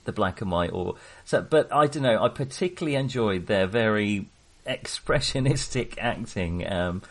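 A man's voice: fairly low pitch, expressive, normal speed. A man hosting a casual film discussion, speaking hesitantly and often correcting himself as he digresses. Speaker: podcast host.

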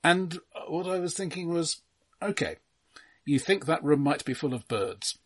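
A man co-hosting a casual film discussion, speaking in a halting, measured and dryly understated way. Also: a little high, animated, breathy edge. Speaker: film podcast co-host.

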